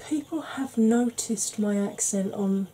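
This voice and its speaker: Soft-spoken woman, Welsh-tinged northern accent. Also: mid-range voice, slight background noise. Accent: Welsh-tinged northern English. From Wales and Yorkshire. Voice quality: soft-spoken, slow, wry. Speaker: makeup vlogger. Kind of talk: soft-spoken makeup tutorial chat.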